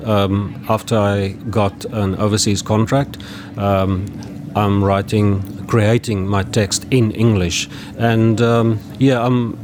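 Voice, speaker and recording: A man, a novelist from South Africa whose first language is Afrikaans, speaks with a South African accent and talks about his book in a hesitant way, pausing often with many ums and restarts. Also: lowish voice, background noise. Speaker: author. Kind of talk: festival interview.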